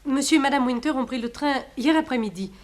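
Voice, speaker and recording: An actress playing a prim secretary in a French radio detective drama, answering questions politely and carefully. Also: female voice, sits high, fast, natural voice. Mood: composed, factual. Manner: polite, careful, well-spoken. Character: prim, proper female secretary of a murdered businessman.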